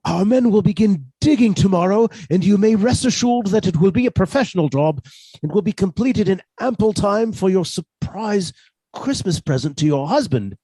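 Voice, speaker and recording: A scheming professor acted by a man from a radio suspense play, formal and outwardly mannerly. The voice sits rather high and swings expressively, with a soft, mellow tone in a dry recording. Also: lively intonation, normal speed, husky, no room sound, low-quality recording. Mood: uneasy, mounting dread. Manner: formal, old-fashioned turns of phrase, hesitant when flustered. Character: henpecked professor husband, secretly scheming wife-killer, outwardly mannerly.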